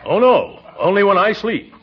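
An actor playing a pompous, flustered middle-aged uncle in 1940s radio comedy, chuckling and sputtering. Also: male voice, high voice, big pitch swings, unhurried, noisy background. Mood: blustering. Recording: muffled old-time radio recording.

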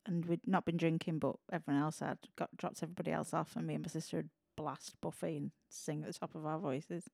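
A woman's voice: low voice, fairly even intonation, quick pace, creaky, a little mellow, no room sound. A woman co-hosting a podcast in casual chat, a bit tired, giving short, dry and blunt replies. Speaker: podcast co-host.

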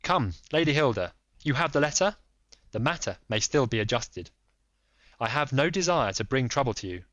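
A man voicing a calm, commanding detective in a measured, insistent way. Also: mid-range voice, no echo, pitched above the performer's own voice. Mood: urging. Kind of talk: audiobook reading.

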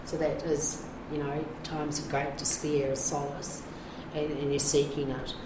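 Middle-aged New woman, very deep voice, frank and rambling, echoey room with noise. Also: little pitch movement, measured pace. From New Zealand. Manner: frank, rambling, many fillers and restarts. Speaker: addiction recovery navigator. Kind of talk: candid interview talk.

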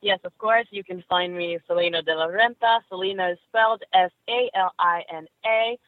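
Brief: woman wrestler, chatty and animated, dry tinny call audio. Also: on the low side, normal speed, dry recording. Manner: laughing, animated storyteller. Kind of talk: casual interview storytelling. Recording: tinny phone line.

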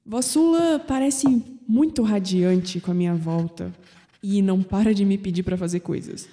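A woman's voice: mid-range voice, normal speed, warm tone, in a large hall. A young woman narrating an audio drama in a wry, understated way as its protagonist. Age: young adult.

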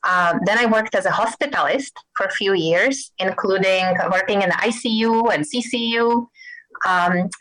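A middle-aged woman from the Czech Republic with a Czech accent, a guest physician in an interview, talking thoughtfully and pausing to search for words. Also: medium pitch, a bit bright, poor audio. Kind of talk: interview conversation.

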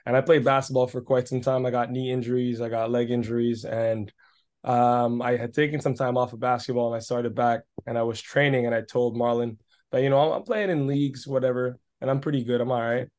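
A man's voice: medium pitch, steady tone, brisk, a little mellow. A man in his thirties telling an enthusiastic, emphatic personal story and repeating phrases for emphasis. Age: 30s. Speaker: podcast guest giving a testimonial. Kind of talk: casual storytelling.

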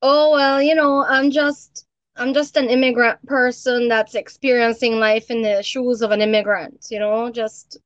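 A woman from Belize with a Belizean Creole accent. She talks at a fairly high pitch in a relaxed, explanatory way and laughs easily. The recording is dry and slightly muffled. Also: a little slow, no echo. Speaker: guest. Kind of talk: casual podcast conversation.

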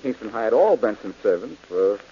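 A sardonic radio detective. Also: male voice, fairly low pitch, lively intonation, moderate pace. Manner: dry, sardonic. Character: wisecracking tough male ex-crook detective. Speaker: detective hero. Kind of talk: 1940s radio acting. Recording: dull old radio recording with hiss.

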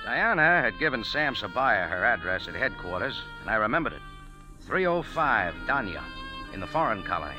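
Actor as wry hardboiled café owner, old radio. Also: male voice, lowish voice, very animated, normal speed, rough recording. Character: wisecracking American male café owner. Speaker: lead and narrator. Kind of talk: 1940s radio acting and hardboiled narration. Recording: old radio broadcast with music.

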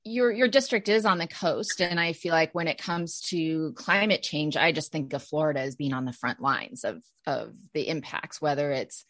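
A woman's voice: sits low, normal speed, hardly any pauses, no echo. Middle-aged woman interviewer, dry sound. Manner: relaxed, with fillers, asks long questions. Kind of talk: conversational interview.

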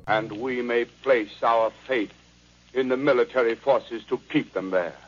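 An actor playing a government secretary who gives a solemn, measured address to the nation. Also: male voice, mid-range voice, faint music behind, close to an everyday voice. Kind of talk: 1930s radio drama acting. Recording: dull 1930s radio recording.

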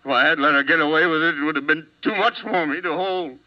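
A man's voice: a little high, quick pace, close to an everyday voice. An actor playing a hot-tempered, desperate jealous husband hunted as a murder suspect in a 1950s radio drama, volatile in his delivery. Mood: desperate pleading. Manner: volatile, swinging from menacing outbursts to broken murmurs. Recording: dull, band-limited old 1950s radio recording.

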